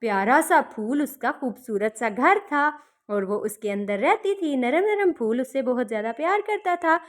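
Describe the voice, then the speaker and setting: Woman, high pitch, children's fairy tale narrator, simple repetitive explaining. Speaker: children's storyteller.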